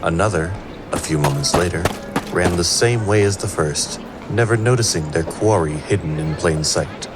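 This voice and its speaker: A man's voice: low-pitched, normal speed, close to an everyday voice. A man performing scripted narration of a fantasy tale as an all-knowing storyteller. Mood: measured. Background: prominent music.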